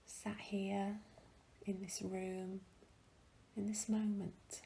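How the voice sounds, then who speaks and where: Slow, hushed woman guiding a meditation. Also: mid-range voice, little pitch movement, a little hiss or hum. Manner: gentle, hushed, breathy, long pauses. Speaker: meditation guide. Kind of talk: guided meditation, soothing and slow.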